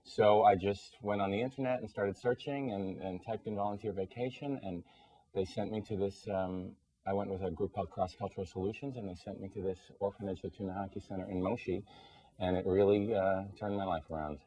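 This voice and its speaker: A man's voice: deep voice, fairly even intonation, fairly quick, warm tone, husky, no echo, low-quality recording. A man speaking earnestly and conversationally as a guest answering interview questions.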